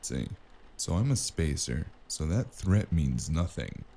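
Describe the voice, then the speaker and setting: A male actor playing a cynical, wisecracking investigator in a noir audio drama, with a gravelly voice and a dry, menacing delivery. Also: a bass voice, big pitch swings, takes it slowly, mellow, heavily acted. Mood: dry menace. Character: cynical, wisecracking hardboiled spacer investigator with magic. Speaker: voice actor playing the lead and narrator.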